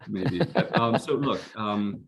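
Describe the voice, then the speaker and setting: Deep-voiced guy in his 50s from southeast asia, earnest and thoughtful, chuckles a lot. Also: animated, takes it slowly, crowd noise. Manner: earnest, reflective, laughs easily. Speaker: guest, physician and health executive. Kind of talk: casual video-call interview.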